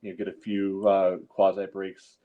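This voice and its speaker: A man on a podcast panel giving halting, casual sports analysis through slightly dull call audio. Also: low voice, completely flat delivery.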